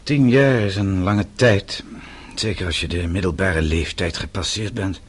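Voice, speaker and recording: An actor playing a bitter, defiant middle-aged exile in a radio play, sardonic and accusing. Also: male voice, deep voice, a little slow, husky. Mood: sardonic, guarded. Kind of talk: Dutch radio play acting.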